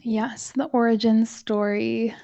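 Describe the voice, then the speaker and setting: A soft-spoken woman talking calmly and evenly as a guest in a casual interview. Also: a little high, gravelly, no room sound, dull audio. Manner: calm, measured, soothing. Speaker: podcast host and guest.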